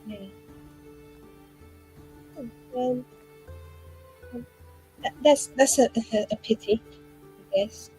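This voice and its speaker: A woman's voice: a little high, takes it slowly, pauses often, warm tone, slightly rough, faint music behind, low-quality recording. A woman player speaking in character in a tabletop game, chatty and rambling with constant fillers. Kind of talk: in-character roleplay.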